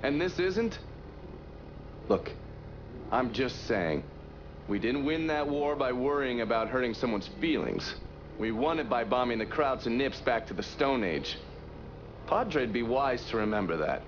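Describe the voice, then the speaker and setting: A man's voice: medium pitch, lively intonation, unhurried, long pauses, natural voice. Man as wry American operative, muffled, noisy. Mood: cynical, needling. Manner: wry, needling, talkative. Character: cynical, wry male war-veteran intelligence man. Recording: muffled, with loud vehicle noise.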